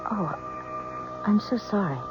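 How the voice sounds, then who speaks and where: A woman's voice: mid-range voice, measured pace, close to an everyday voice. A woman playing a young wife, nervous but determined, with an earnest, hesitant delivery in 1970s radio drama style. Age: young adult. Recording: dull old radio recording with music.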